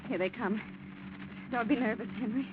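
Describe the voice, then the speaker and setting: A woman's voice: fairly high pitch, normal speed, natural voice. An actress in a 1940s radio drama playing an anxious, protective woman who speaks in a pleading, hesitant manner. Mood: panicked. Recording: tinny, noisy old-time radio recording.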